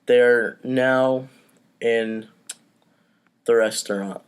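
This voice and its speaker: A man's voice: medium pitch, steady tone, very slow, clean tone. A man hosting a casual table read, reading out stage directions and talking between them. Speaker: host and stage-direction reader.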